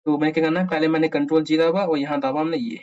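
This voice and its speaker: A man's voice: fairly high pitch, fairly even intonation, racing, darkish tone. A man with an Indian accent hosting a casual programming tutorial, thinking aloud and muttering questions to himself as he works. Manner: mutters to himself, asks himself questions while working. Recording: dull, muffled lo-fi recording.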